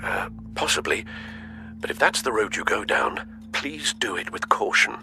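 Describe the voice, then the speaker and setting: Loyal, cautious aide in a video game: polite, anxious, highly animated pitch, music underneath. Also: male voice, unhurried, heavily acted. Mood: concerned. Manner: polite, hesitant, worried. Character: concerned, cautious, courteous male confidant of vigilante. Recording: music behind the voice.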